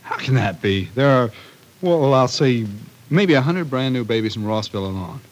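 A man's voice: medium pitch, animated, moderate pace, natural voice. An actor playing a young new father in an old radio soap, doting and boastful, with an earnest, boyish, teasing manner. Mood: amused wonder. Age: young adult. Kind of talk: 1940s radio soap acting. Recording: noisy vintage radio recording.

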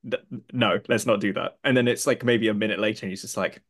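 Male podcast guest, low pitch, relaxed chatty critic. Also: fast talker. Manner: chatty storyteller, many "like" fillers. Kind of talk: casual podcast film discussion.

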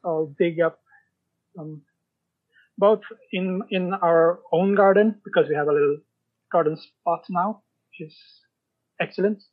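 A man chatting casually as an interview guest, halting and pausing mid-sentence. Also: high-pitched, fairly even intonation, phone-quality sound. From Sweden. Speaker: podcast guest, writer.

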